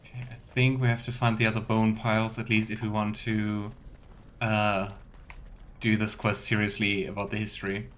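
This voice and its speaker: A man's voice: on the low side, level tone, normal speed, fairly bright tone, a little hiss or hum. A man streaming a game, giving casual commentary, talking to chat and reading in-game text aloud. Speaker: streamer and let's player. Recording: faint game ambience behind voice. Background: faint video game ambience.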